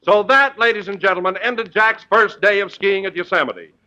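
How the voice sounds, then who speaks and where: Bright, high-voiced male radio announcer. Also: normal speed, highs cut off. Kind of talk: 1940s radio announcing, scripted narration.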